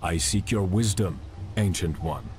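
A man's voice: sits low, lively intonation, measured pace, background noise. A man playing an earnest, resolute warrior heir in a video game, sincere and determined. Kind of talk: video game cutscene acting.

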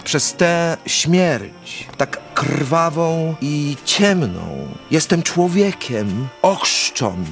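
A man's voice: a little high, expressive, extremely slow, vocal fry, hardly any pauses, heavily acted. An actor playing a theatrical count in his fifties, declaiming grandly in a radio drama. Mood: exalted. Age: 50s. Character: theatrical fifty-year-old Polish count, grandiloquent lover. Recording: music behind the voice.

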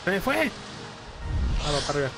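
A man's voice: sits high, very animated, quick pace, vocal fry, music in the background. A man with a Mexican accent giving casual, informal let's-play commentary as host of a gaming channel.

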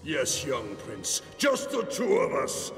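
A man voicing a gloating, sinister demon sorcerer in a cartoon, gravelly, commanding and theatrical. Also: medium pitch, huge pitch swings, slow pace, a bit bright, full character voice. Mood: gloating, menacing. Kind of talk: cartoon villain acting. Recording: cavernous reverb, distant, music behind.

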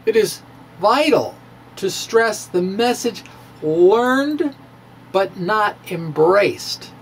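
Middle-aged man, theatrical science explainer, highly animated intonation, drawn-out emphatic words, faint room noise. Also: gravelly, poor audio. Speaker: science video blogger. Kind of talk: dramatic reading of a physics script to camera. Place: small room with bookshelves, indoors. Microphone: microphone on a stand, close in front.

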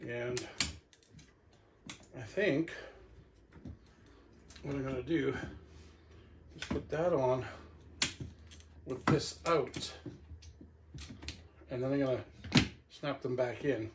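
Male, middle-aged, mid-pitched voice, casual product reviewer thinking aloud as he works; some background noise and clicks. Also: slow, long pauses. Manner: talks to himself while handling gear. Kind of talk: casual unboxing and hands-on review. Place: indoor living room.

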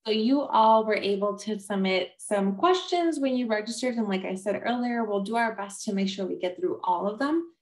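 A woman's voice: medium pitch, normal speed, few pauses, darkish tone. A woman admissions officer hosting an online webinar over a video call, friendly and dotted with "um" fillers.

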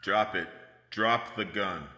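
A man's voice: fairly low pitch, slow pace, pushed and bright. An actor playing a confident sheriff in a radio drama, laying out how he caught the killer. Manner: explaining, self-assured. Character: confident male sheriff, triumphant.

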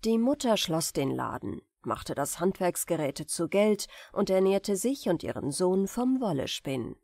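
A woman's voice: lowish voice, a little mellow, dry recording, studio quality. A woman narrating a fairy tale as a scripted bedtime audiobook.

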